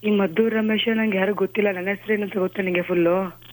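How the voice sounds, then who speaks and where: A woman speaks very quickly at an average pitch. She argues over the telephone, curt and impatient, scolding the person on the other end. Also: fairly even intonation, a little hiss or hum, rough recording. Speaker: prank call recipient. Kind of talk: argumentative phone conversation. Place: on a telephone call.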